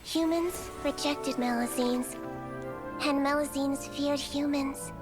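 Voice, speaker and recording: A high, tender little female fairy doctor, tells things with soft earnestness. It has the feel of storybook narration in a video game, with clear music playing behind her. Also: steady tone, takes it slowly. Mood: tender storytelling. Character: small gentle female fairy-folk doctor, sweet and earnest.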